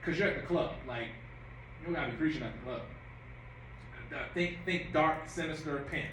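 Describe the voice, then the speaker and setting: A man's voice: a little high, brisk, a bit bright, recorded from a distance, slight background noise. A man directing and coaching actors in a rehearsal, giving notes and acting out lines to demonstrate them. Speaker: director and writer. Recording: echoey room.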